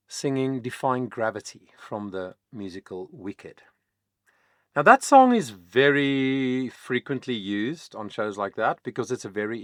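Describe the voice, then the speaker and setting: Afrikaans-native male vocal coach, lowish voice, dry close sound, relaxed explainer. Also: dry recording. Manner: chatty, explanatory, many "um" fillers. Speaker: vocal coach, channel host. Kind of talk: casual reaction-video talk.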